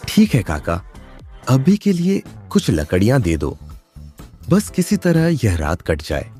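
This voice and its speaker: A man voicing a poor village farmer, a husband and father, pleading and plaintive in an animated moral story. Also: on the low side, measured pace, soft background music.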